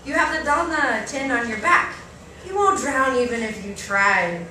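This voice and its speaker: A woman's voice: fairly high pitch, measured pace, bright, forceful tone, voice pushed up. A woman voicing an insistent, encouraging aunt in a story, prodding and reassuring as she speaks. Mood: comforting. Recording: echoey room, distant mic, faint noise.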